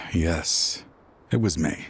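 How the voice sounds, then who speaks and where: An actor playing a weary, regretful aging man, a grieving father, with husky breath and a dramatic video game delivery. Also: extremely low pitch, slight background noise, heavily acted. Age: older. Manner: slow, weary, sighing delivery.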